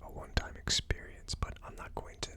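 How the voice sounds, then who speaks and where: A person whispering in an ASMR style as host of a tasting video, with a tentative, musing delivery. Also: fairly quick. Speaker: host of a whiskey tasting series.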